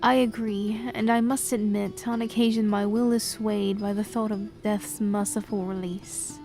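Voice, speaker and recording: A woman voicing a cursed young queen who speaks in a slow, formal, solemn way in a fantasy audio drama. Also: medium pitch, little pitch movement, gravelly, soft background music. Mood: weary.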